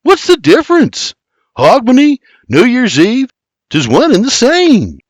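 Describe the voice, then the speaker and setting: A sarcastic young Irishman trades wry quips in an Irish lilt, his voice pitched well above the narrator's and full of lively swings, as a man voices him. The recording is dry and close. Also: measured pace, no echo, full character voice. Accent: Irish, as played.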